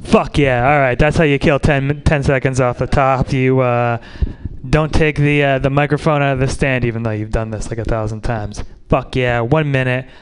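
A man's voice: mid-range voice, fairly even intonation, normal speed, a little hiss or hum. A man doing a loose, crude stand-up set at an open mic. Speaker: stand-up comedian.

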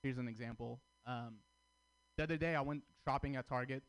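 A man from Armenia, raised in Russia, performing a stand-up comedy set with crude, deadpan punchlines. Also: medium pitch, brisk, clear, resonant tone, rough recording. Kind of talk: stand-up routine at open mic.

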